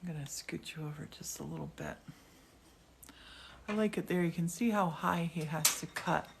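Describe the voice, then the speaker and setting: A woman's voice: fairly low pitch, normal speed, creaky, noisy background. A woman hosting a live demonstration in a glass studio, chatting casually and with enthusiasm. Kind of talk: casual live-stream chat and commentary.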